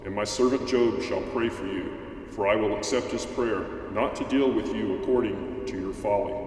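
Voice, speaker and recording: A man's voice: fairly low pitch, steady tone, moderate pace, a little mellow, lightly acted. Man acting a stern God in a cavernous echo. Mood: stern, angry. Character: God, stern all-powerful male deity rebuking mortals. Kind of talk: amateur church dramatic reading of scripture. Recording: very echoey hall, distant, faint noise.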